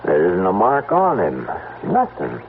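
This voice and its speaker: An actor playing a stubborn, sarcastic middle-aged prairie farmer in a 1970s radio drama. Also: male voice, low voice, huge pitch swings, unhurried, gravelly, exaggerated voice. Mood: stricken, grim. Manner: sarcastic, brushing off worry. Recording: muffled, narrow-band vintage radio, noisy.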